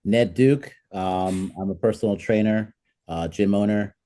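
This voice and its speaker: Low, mellow American man. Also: unhurried. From New Jersey, United States. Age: 40s. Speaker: podcast panelist. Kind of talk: casual roundtable talk.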